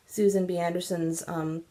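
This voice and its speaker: A woman's voice: on the low side, dark tone. A stuffy, congested woman hosting a casual knitting video podcast, chatty and rambling with many ums. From Central California. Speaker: podcast host.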